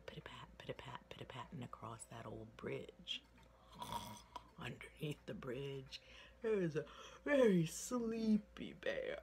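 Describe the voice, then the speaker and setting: A low-voiced woman in her sixties spins a children's tale with folksy drama. Her pitch moves widely and she pauses for emphasis. It is a phone recording made indoors with noise behind her. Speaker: storyteller. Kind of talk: animated oral storytelling for children. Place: indoor room with wooden ceiling. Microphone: phone at arm's length.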